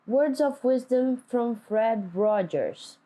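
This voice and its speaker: A woman teacher reading a picture book aloud to children, speaking deliberately and putting simple questions to them. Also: a little high, expressive, mellow, poor audio. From Brazil.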